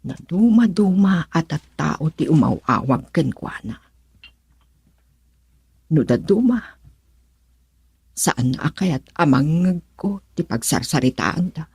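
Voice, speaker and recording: A woman's voice: low-pitched, animated, slow, gravelly, pauses often, darkish tone, slightly rough, no echo, heavily acted. An actress playing a worried, suspicious woman brooding aloud in an Ilocano radio drama monologue. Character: worried suspicious woman brooding over her absent partner.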